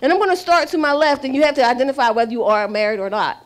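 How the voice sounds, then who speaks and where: High-voiced playful woman hosting. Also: rapid-fire. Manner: playful, nosy, laughing often. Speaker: host. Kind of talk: casual panel chat. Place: restaurant seating area. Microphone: handheld mic.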